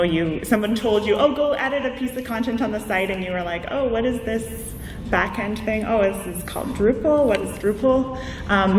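A woman giving an informal conference presentation as a keynote speaker, explaining in a conversational way through examples. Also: medium pitch. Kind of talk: informal slide presentation to an audience. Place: large indoor conference room. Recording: echoey hall, distant mic, background noise.

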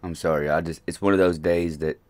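Low-voiced hesitant man, late thirties. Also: steady tone, a little fast, loud music behind the voice. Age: late 30s. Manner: hesitant, rambling, many fillers. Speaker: music reaction host. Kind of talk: casual reaction commentary. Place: small room indoors.

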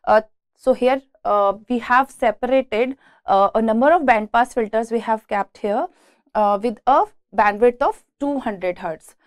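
A woman's voice: fairly high pitch, measured pace, no echo, studio quality. A woman with an Indian accent giving an engineering lecture from slides, explaining step by step and often repeating words as she goes. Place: indoors, beside a presentation screen.

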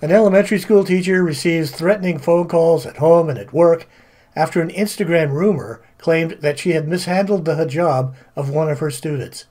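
Male narrator for opinionated video essay, slightly high and raspy. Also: moderate pace, darkish tone, soft background music. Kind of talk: scripted polemical narration.

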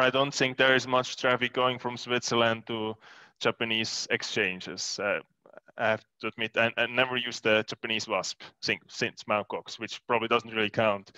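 A man's voice: medium pitch, pushed and bright, clean tone, no echo, rough recording. A man in his thirties speaking as a panelist through a headset mic, explaining in a matter-of-fact way. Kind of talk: panel discussion over video call. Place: room with plant wall indoors.

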